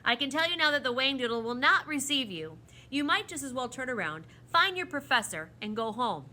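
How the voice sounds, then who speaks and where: A woman's voice: on the high side, lively intonation, a little slow, pushed and bright, exaggerated voice. A woman voicing a stern, pompous male official who snaps and scolds in a firm, emphatic storybook manner.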